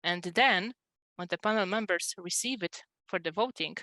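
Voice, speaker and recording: A middle-aged woman presenting a software demonstration in a webinar, explaining step by step with frequent hesitant pauses, on a headset. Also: lowish voice, no echo. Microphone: headset on a video call.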